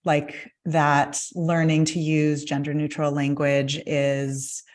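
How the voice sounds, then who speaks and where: Low-voiced woman hosting a gentle interview. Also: level tone, slow pace. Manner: gentle, affirming, many soft "mm" responses. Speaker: host, licensed midwife. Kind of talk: interview host chat.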